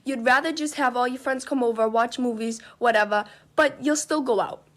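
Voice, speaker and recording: A woman's voice: a little high, moderate pace, a bit bright. A young woman talking straight to the viewer in a chatty, upbeat short video. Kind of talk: social media clip monologue.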